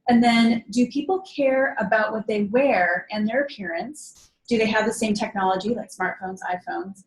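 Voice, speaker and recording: A woman moderates a Q&A session. She reads audience questions aloud at a measured pace. Her voice sits at a middle pitch. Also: low-quality recording.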